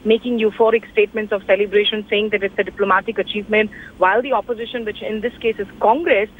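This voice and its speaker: A woman's voice: mid-range voice, fairly even intonation, fast talker. A woman with an Indian accent giving a live, wordy and explanatory news analysis as a correspondent. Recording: tinny phone line with faint noise.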